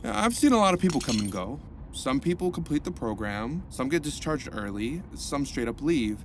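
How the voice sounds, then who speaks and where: An actor playing a cheerful, jokey male rehab counselor in an audio drama, with a playful, lively delivery. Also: mid-range voice, moderate pace, noisy background.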